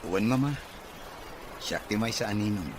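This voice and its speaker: A man's voice: on the low side, moderate pace, noisy background, lightly acted. A man playing a bashful, hesitant bachelor son in an audio drama. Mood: reluctant. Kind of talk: Ilocano audio drama acting.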